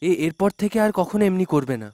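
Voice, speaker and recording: A man voicing a kind rickshaw driver in an animated folktale, pleading earnestly and urgently. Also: sits high, quick pace, dry recording. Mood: sympathetic pleading.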